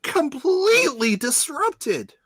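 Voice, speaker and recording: A woman playing an excitable starship officer in an amateur radio play, with theatrical exclamations. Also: high voice, takes it slowly, no room sound, clean studio sound, exaggerated voice. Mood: reporting. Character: excitable starship officer working the computer consoles.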